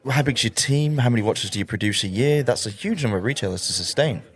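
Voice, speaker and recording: A man's voice: medium pitch, expressive, quick pace, breathy edge. A man co-hosting an interview in a casual, effusive style, reacting and asking questions. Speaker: interview co-host.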